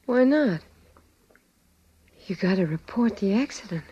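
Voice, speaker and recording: An actress playing a dazed, anxious wife in a 1940s radio drama, slow and questioning, on a vintage recording. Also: female voice, mid-range voice, animated, dark tone, slightly rough, dull audio. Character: dazed, anxious young-sounding wife after a truck accident.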